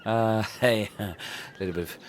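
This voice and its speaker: A man's voice: on the low side, steady tone, normal speed. A man performing scripted comedy dialogue. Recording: clearly noisy background.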